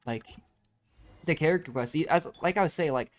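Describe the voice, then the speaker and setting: Female streamer, very deep pitch, casual commentary, musing, hesitant, conversational, faint game music behind. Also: a little fast.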